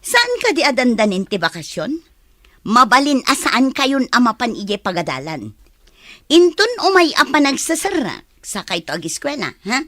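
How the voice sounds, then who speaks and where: A woman's voice: on the high side, sing-song, moderate pace, pushed and bright, no echo, clean studio sound, heavily acted. A woman playing a strict, stingy stepmother with a bossy, scolding manner in a radio drama.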